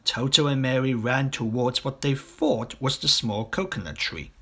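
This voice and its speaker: A man reading a children's story aloud as an expressive audiobook narrator. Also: mid-range voice, moderate pace, breathy edge. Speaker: audiobook reader.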